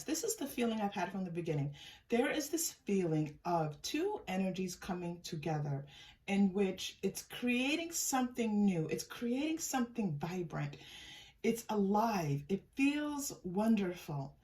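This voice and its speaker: Woman tarot reader, soft and musing, mid-pitched, a bit distant from the mic. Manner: unhurried, musing, often trails off and restarts; soft-spoken, gives thanks to unseen guides. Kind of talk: pick-a-card tarot reading.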